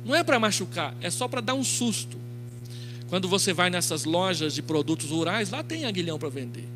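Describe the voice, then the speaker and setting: A middle-aged Brazilian pastor preaches in a high male voice. He tells the story through quoted dialogue and rhetorical questions. The recording comes from a handheld microphone in a church, with clearly audible noise and mains hum. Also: very animated, a little fast. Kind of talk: expository sermon. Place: church stage, indoors.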